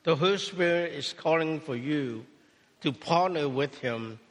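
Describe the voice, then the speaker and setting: Cantonese-native pastor giving a sermon in short, paused phrases, slightly high voice, long reverb of a church hall. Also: male voice, a bit bright. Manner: speaks in short phrases, pausing for interpretation. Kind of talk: sermon testimony with interpreter.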